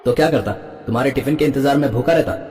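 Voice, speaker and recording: Man playing a blunt, fast-talking husband, echoey. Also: mid-range voice, darkish tone, natural voice. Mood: dismissive. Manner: curt, complaining. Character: blunt, fault-finding husband of a cartoon household. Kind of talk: animated family story dialogue. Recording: echoey hall-like reverb.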